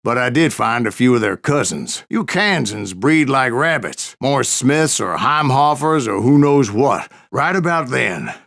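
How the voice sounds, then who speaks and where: A stubborn old gunslinger from a Western video game. His voice is full of gravel and fry, with a folksy American West drawl. He tells his tall tales like a cantankerous, foul-mouthed saloon storyteller. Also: medium pitch, expressive, a little slow, hardly any pauses, fairly bright tone. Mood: wry, stubborn. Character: gruff, stubborn old Wild West bounty hunter spinning tall tales. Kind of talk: storytelling narration, rambling saloon yarn.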